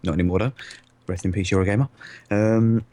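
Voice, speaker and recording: Low-pitched English man, casual joking livestream co-host, laughs a lot. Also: fairly even intonation, fairly quick, mellow. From England. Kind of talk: casual chat.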